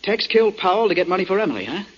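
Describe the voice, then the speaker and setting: An actor playing a surly, sarcastic pilot and wronged husband in a 1940s radio drama. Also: male voice, high voice, very animated, racing, slightly rough, full character voice. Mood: bitter, challenging. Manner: curt, sarcastic. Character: surly, bitter male pilot and jilted husband. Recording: dull, hissy 1940s radio recording.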